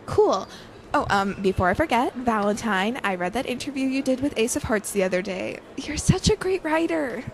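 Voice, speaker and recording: A woman's voice: a little high, expressive, normal speed, slight background noise, rough recording. An actress playing a bubbly, artsy young woman in an audio drama, gushing with enthusiasm. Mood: admiring.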